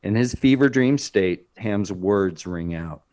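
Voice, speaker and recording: A man's voice: fairly low pitch, expressive, slow pace, noisy background. A man narrating stage directions as scripted narration in a screenplay table read.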